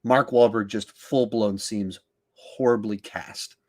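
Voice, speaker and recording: A man hosting a podcast in casual discussion, acting out his reactions in animated asides. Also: fairly low pitch, takes it slowly. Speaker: podcast host.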